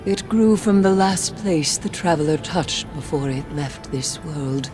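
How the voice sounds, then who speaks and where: A woman's voice: low voice, a little slow, over music. Haunted, solemn mystic warrior woman. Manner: solemn, cryptic, breaking into whispers. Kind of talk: video game voice acting.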